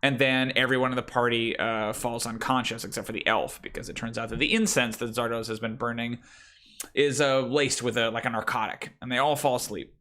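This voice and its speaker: A man with a deep voice, a game master thinking aloud about his campaign in a casual, rambling way with many fillers. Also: fairly even intonation, brisk, pushed and bright. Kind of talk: casual prep talk about running a tabletop campaign.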